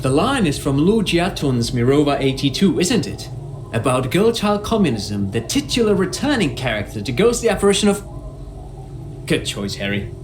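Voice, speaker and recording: A man's voice: on the high side, sing-song, moderate pace, slight background noise. A man playing a polite, evasive academic consultant in a video game, hesitant and wordy. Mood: intrigued. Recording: music behind the voice.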